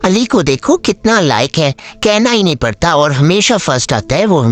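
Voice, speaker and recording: A man's voice: fairly high pitch, sing-song, normal speed. A man playing a concerned middle-aged father, strict yet indulgent, in a children's moral story. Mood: worried.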